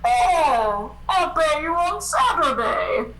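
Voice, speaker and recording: A woman's voice: high-pitched, very animated, takes it slowly, fairly bright tone, poor audio. A woman reading a sweet 1940s radio ingenue part on a video call. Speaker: cast member in a comedic radio play reading.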